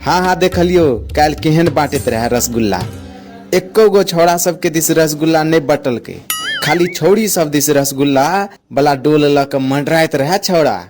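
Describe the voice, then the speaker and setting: A man's voice: fairly high pitch, normal speed, music in the background, close to an everyday voice. A man voicing an aggrieved, blustering village in-law in a comic cartoon, complaining with a Maithili accent. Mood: tattling. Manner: complaining, indignant.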